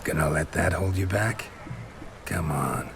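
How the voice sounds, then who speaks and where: A laconic male mercenary from a fantasy game. His voice sits very low and his sentences are clipped and dryly sardonic. There is clear ambient noise behind him. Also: unhurried. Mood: dry, probing. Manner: terse, deadpan, clipped sentences. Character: gruff laconic monster-hunting mercenary man, dryly sardonic. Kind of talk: video game voice acting.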